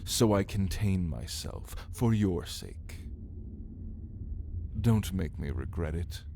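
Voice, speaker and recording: A man's voice: sits low, creaky, mellow, a little hiss or hum, exaggerated voice. A man playing a brooding, menacing caged vampire in an ASMR roleplay, speaking in a theatrical, taunting way with archaic wording. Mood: menacing restraint. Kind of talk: ASMR roleplay, dramatic monologue to listener.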